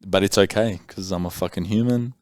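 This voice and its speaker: A man's voice: low-pitched, fairly quick, a little mellow, dry recording, studio quality. Blunt, swearing man in his thirties. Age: 30s. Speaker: podcast host. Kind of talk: candid conversation.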